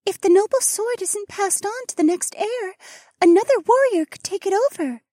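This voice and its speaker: Man putting on a very high, whispery attendant woman. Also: lively intonation, moderate pace, a little mellow, dry recording. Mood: earnest warning. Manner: whispery, deferential. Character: earnest, knowledgeable female attendant advising her lord.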